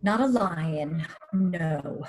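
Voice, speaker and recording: A woman's voice: fairly low pitch, expressive, drawn-out words, slight background noise. A woman playing a nervous, tart-tongued film star in her fifties in a screenplay table read, biting and wry with emphatic repetition. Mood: scornful, biting. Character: fifty-four-year-old Lucille Ball, nervous, tart-tongued, bitter screen star.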